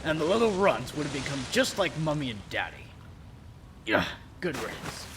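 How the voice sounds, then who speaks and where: A man's voice: on the high side, big pitch swings, normal speed, noisy background. A man playing a callous, bullying criminal who barks and sneers in coarse slang in an audio drama. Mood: irritated, sneering.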